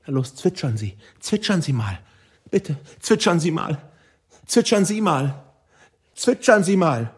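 A man playing an absurd, insistent character in an experimental radio play, repeating himself in a gravelly, husky voice. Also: fairly high pitch, big pitch swings, a little slow, full character voice. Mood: insistent urging. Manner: repetitive, insistent.

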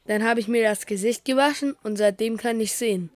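Woman voicing an earnest, high-voiced humble man. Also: brisk, no room sound, lightly acted. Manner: earnest, emphatic. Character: earnest, plain-spoken man healed of blindness. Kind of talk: dramatised scripted audio drama.

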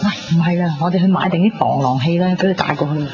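A woman's voice: on the low side, fast, natural voice. An actress with a Hong Kong Cantonese accent playing a chatty, bossy, teasing young female friend in a 1990s radio drama. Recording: dull radio recording, noisy background.

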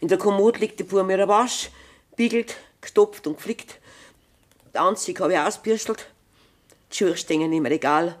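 An actress with a Bavarian accent playing a wronged, plain-spoken, middle-aged farmer's wife, worn down yet defiant, in a radio drama. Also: female voice, medium pitch, moderate pace, long pauses, lightly acted. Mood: weary, despairing. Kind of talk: German dialect radio drama acting.

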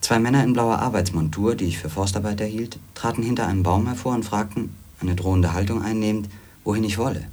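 A man acts a brooding former editor in a radio play, with a very low, husky voice. He recounts his wanderings in measured literary sentences, introspective and inward. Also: darkish tone, lightly acted. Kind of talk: literary first-person radio play narration.

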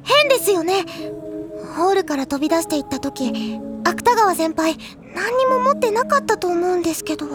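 真面目で礼儀正しい後輩の女子高生を演じる女性声優、アニメ調のドラマ演技。. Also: female voice, very high-pitched, expressive, moderate pace. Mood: worried. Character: earnest, polite younger high school girl. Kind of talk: anime-style audio drama acting. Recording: music under the voice.

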